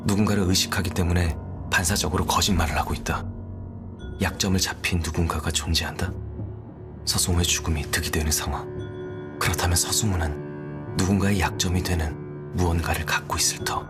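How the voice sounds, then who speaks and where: A man acting a calm criminal profiler in an audio drama, speaking in a measured, grave, deliberate way. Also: deep voice, close to an everyday voice. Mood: brooding, analytical. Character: calm, brooding male criminal profiler working a murder case. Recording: music bed under the voice. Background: background music.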